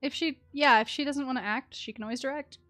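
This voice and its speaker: A woman game streamer giving casual, chatty live commentary with an animated, empathetic manner. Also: high voice, fast talker. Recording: game music behind the voice.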